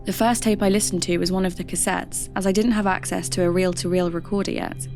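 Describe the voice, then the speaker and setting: An actress plays a curious podcast host in an audio drama. Her voice sits a little low, with faint music behind it. She tells her story in measured, conversational scripted narration. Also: steady tone, close to an everyday voice. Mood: measured, intrigued. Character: spooky-curious female radio DJ turned podcast host.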